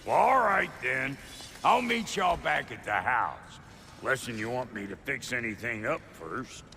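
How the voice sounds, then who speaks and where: A blunt, folksy dwarf blacksmith from a fantasy game. His gravelly voice swings wildly in pitch, with a twang. There is clear noise behind him. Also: very animated, a little slow, pushed and bright, exaggerated voice. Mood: folksy, eager. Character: gruff folksy wisecracking dwarf blacksmith, middle-aged. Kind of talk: video game character acting.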